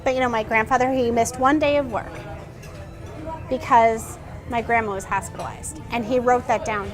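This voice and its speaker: A woman's voice: fairly high pitch, moderate pace, music in the background. A middle-aged woman interviewing a guest in a casual chat. She talks earnestly and restarts her sentences often. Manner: earnest, animated, many restarts. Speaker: interviewer and blogger.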